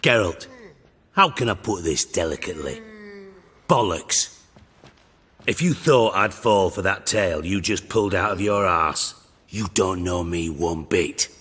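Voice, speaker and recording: A shrewd British underworld boss from a fantasy game. His voice is bright and forceful on a lowish pitch, articulate and dripping with sarcastic courtesy. It rings in a reverberant space with music underneath. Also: lively intonation, unhurried, breathy edge, exaggerated voice. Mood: scornful. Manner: mockingly polite, wry, articulate, profane. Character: cynical, shrewd, sarcastic male underworld spymaster, middle-aged. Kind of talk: video game voice acting.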